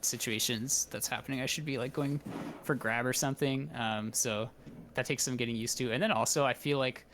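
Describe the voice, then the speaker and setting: A man's voice: mid-range voice, fairly even intonation, a little fast, noisy background. A man chatting casually as a student in a coaching session, hesitant and thinking aloud as he goes. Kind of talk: casual chat. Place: remote online voice call.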